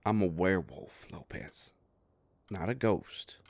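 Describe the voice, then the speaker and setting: A man playing a sardonic, grumbling werewolf private investigator with a soft heart, in an audio roleplay drama. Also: expressive, slow pace, dry recording, full character voice. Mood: exasperated, defensive. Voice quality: low gravelly voice.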